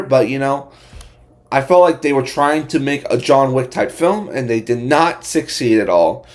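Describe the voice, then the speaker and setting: A man hosting a casual movie review, speaking bluntly in a halting, repetitive way with frequent restarted phrases. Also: fairly high pitch. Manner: opinionated, blunt. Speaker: host of a movie review channel.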